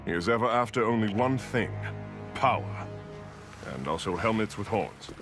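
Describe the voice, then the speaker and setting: A man's voice: fairly low pitch, normal speed, close to an everyday voice. A man voicing a theatrical thunder god warrior with formal, grandiose delivery in a video game. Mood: grave, droll. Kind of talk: video game cutscene acting. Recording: music behind the voice.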